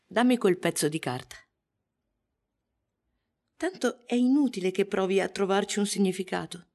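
An actress playing a grieving, dazed mother in a radio drama, speaking in a halting, intimate and gentle way. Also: medium pitch, lightly acted. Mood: grieving, tender.

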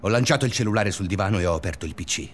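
An actor playing an obsessive, restless male schoolteacher in a confessional radio drama monologue, speaking deliberately in short phrases. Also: low voice, expressive, a little hiss or hum. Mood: tense. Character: obsessive, restless male schoolteacher narrating his sleepless days.

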